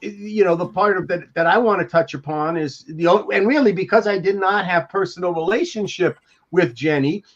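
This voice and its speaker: An older man with a notably high voice talks casually as a panelist. He speaks haltingly and doubles back on words. The call audio is a little dull and lo-fi. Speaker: podcast panelist. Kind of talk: casual discussion. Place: room at home, indoors.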